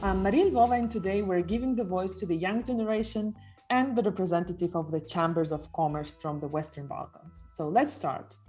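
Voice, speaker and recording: A woman's voice: medium pitch, brisk, a little mellow. A woman hosting a podcast, introducing and moderating in a presenter's scripted style. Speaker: podcast host. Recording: music behind the voice.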